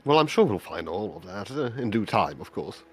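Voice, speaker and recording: Polite, lively-pitched man. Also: normal speed, faint music behind. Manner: polite, formal phrasing. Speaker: tabletop roleplay player. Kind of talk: in-character tabletop roleplay.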